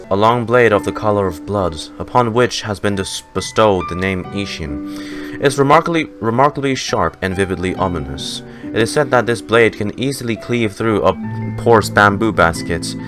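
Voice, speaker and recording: A man streaming a game reaction, talking casually and reading in-game text aloud with some stumbles. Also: on the low side. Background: game music with guitar.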